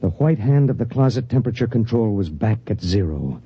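An actor playing a grim, burdened space pilot in a 1950s radio drama. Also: male voice, lowish voice, lively intonation, a little fast, husky. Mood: grim, haunted. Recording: muffled 1950s radio, music behind.

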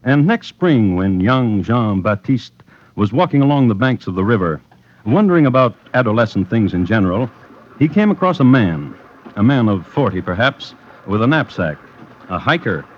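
A man narrating true crime as a radio host in a deliberate, dry, wry storytelling style from mid-century radio. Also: on the low side, very animated. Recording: muffled vintage radio, music behind.

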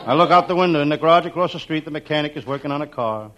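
A man playing a wisecracking, down-on-his-luck private detective in a 1940s radio comedy, with deadpan, slangy delivery. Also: on the high side, rapid-fire, close to an everyday voice. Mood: deadpan, wry. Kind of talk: 1940s radio comedy acting, scripted first-person narration. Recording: muffled, very noisy old radio recording.

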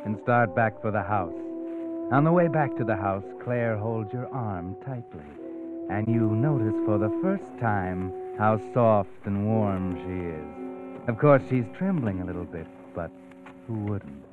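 Insinuating suspense narrator, tinny old radio. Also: male voice, fairly low pitch, animated, a little slow. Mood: hushed suspense. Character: insinuating male inner-voice narrator of a suspense tale. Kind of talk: old-time radio drama narration, second person. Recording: tinny vintage radio recording. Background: dramatic music.

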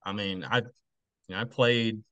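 A man's voice: on the low side, steady tone, normal speed, pushed and bright, clear, resonant tone, no echo. A man in his late twenties from Texas, answering interview questions in a casual, plainspoken way. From Texas, United States. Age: late 20s. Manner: plainspoken, informal. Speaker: podcast guest, football coach.

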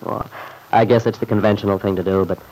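A man's voice: lowish voice, fairly even intonation, fast, a little mellow, a little hiss or hum, natural voice, rough recording. An actor playing a weary, polite, subdued man in an old-time radio drama, speaking hesitantly. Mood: weary, resigned. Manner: hesitant, downbeat.

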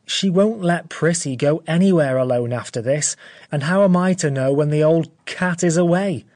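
A woman's voice: low voice, normal speed, darkish tone, pitched below the performer's own voice, lightly acted. A woman voicing a nervous, lovesick middle-aged man who talks earnestly and worriedly.

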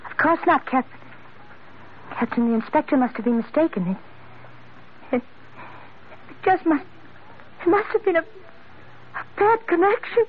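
An actress playing an emotional, proud young woman of means in a 1940s radio melodrama, speaking in a halting way. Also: high voice, sing-song. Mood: flustered. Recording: muffled, hissy old radio recording.

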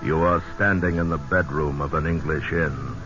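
A man announcing a 1940s radio show in a dramatic, ominous, measured style. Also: extremely low pitch, steady tone, over loud music. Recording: muffled old radio broadcast.

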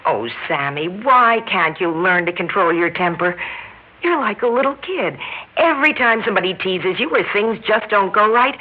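An actress playing a loving, long-suffering young American wife in a classic radio drama, gently scolding and pleading. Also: female voice, medium pitch, expressive, normal speed, close to an everyday voice. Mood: worried, scolding. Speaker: actor. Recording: muffled old radio recording, faint noise.

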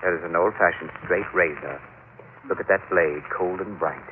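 An actor playing a cold, cruel, manipulative killer, insinuating and persuasive, in a classic radio drama. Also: male voice, deep voice, moderate pace, close to an everyday voice. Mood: sinister coaxing. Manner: insinuating, coldly persuasive, sinister. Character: cold, cruel, manipulative murderous male schemer. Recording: tinny, hissy vintage radio recording.

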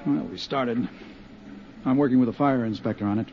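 An actor playing a steady, practical male investigator who talks in a measured, matter-of-fact way, in a muffled 1950s radio drama. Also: mid-range voice, lightly acted. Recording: muffled 1950s radio broadcast with faint hiss.

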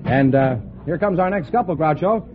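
A man's voice: a little high, expressive, normal speed, background noise. A man announcing on a 1950s radio quiz, delivering scripted introductions to the show. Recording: tinny old radio broadcast, audience behind. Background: studio audience.

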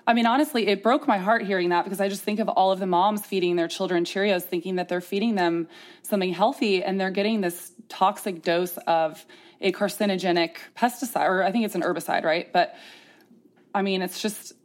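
A woman's voice: medium pitch, steady tone, brisk. A woman hosting a candid interview, talking casually with earnest emphasis. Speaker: podcast host.

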